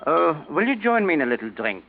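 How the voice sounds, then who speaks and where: Nervous German impostor, swinging pitch. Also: male voice, sing-song, brisk, gravelly. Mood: falsely cordial. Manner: nervous, formal, agitated. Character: desperate, hunted German impostor, man with murder on his conscience. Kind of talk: 1940s radio drama acting. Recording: dull, noisy old radio recording.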